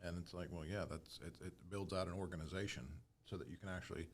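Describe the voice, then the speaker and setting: A man's voice: low voice, steady tone, fast. A man explaining procedures in a casual panel discussion, thinking aloud and repeating words as he goes. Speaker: podcast panelist and fire service instructor.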